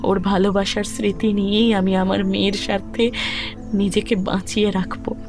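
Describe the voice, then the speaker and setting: A woman performs a resolute young widowed mother in a romantic audio drama. She has a Kolkata Bengali accent and a medium-pitched voice, solemn, deliberate and emotionally distant. Music plays clearly behind her. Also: flat delivery, lightly acted. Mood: cold, resolute. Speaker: actress in an audio story. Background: background music.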